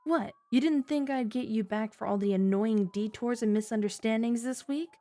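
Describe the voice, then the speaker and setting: A woman's voice: fairly high pitch, moderate pace, warm tone, natural voice. A woman playing a flirty, scheming underworld informant in an ASMR audio drama, with a teasing, coy, mock-sweet delivery. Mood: smug.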